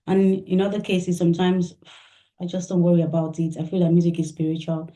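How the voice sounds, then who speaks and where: Soft, low Nigerian-born woman musician. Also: flat delivery, brisk. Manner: soft-spoken, thoughtful, laughs often. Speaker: musician guest. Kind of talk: interview answers. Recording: echoey room.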